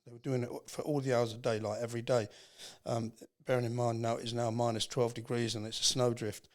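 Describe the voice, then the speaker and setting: An English man speaking as a podcast guest, telling a personal story in an earnest, halting, reflective way. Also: medium pitch, level tone. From England.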